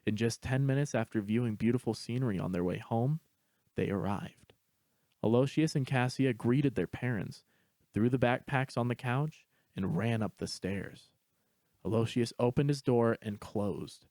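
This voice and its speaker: A man's voice: medium pitch, moderate pace, warm tone, dry recording. A man reading scripted story narration as the narrator.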